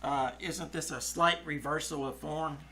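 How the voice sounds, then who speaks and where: A woman's voice: deep voice, fairly even intonation, unhurried, gravelly, pushed and bright, slight background noise, full character voice. Woman playing a sarcastic wisecracking little brother. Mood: sardonic. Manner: dry, sarcastic. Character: wisecracking, skeptical young boy, a pesky little brother. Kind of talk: old-time radio comedy acting. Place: live on a small theater stage.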